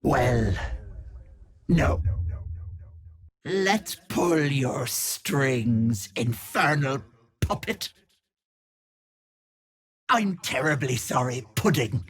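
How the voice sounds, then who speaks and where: An actress voicing a wicked old hag with a raspy, cackling voice, taunting with sugary pet names. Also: female voice, a bass voice, lively intonation, extremely slow, pauses often, full character voice. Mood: menacing, teasing. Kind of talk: video game voice acting.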